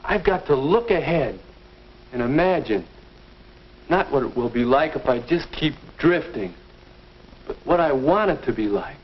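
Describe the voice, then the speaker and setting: Slow, earnest young American actor as a teenage boy. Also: mid-range voice, animated, a little hiss or hum, close to an everyday voice. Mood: pleased, realizing. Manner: deliberate, self-questioning, earnest. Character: earnest teenage boy working out his future. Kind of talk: 1950s educational film acting, interior monologue. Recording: dull vintage 1950s film soundtrack.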